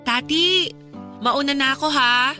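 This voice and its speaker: A Filipino woman playing a weary, gentle young former girlfriend in a radio romance drama, speaking in a hesitant, conversational way. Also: high voice, measured pace, over music. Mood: polite, upbeat.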